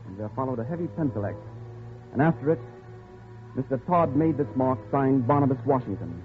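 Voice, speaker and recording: An actor playing a weary, desperate captive in an old-time radio drama, earnest and emphatic in both dialogue and narration. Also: male voice, mid-range voice, lively intonation, fairly quick, soft background music, lightly acted. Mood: grim recounting. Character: desperate male captive of a jungle recluse, weary, pleading. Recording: tinny, band-limited vintage radio recording.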